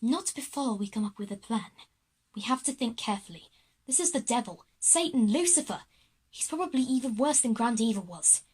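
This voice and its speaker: A woman's voice: fairly high pitch, animated, fairly quick, a little mellow, slightly rough. A woman voicing a bold, decisive schoolgirl leader in a children's audio drama, urgent and commanding. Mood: firm.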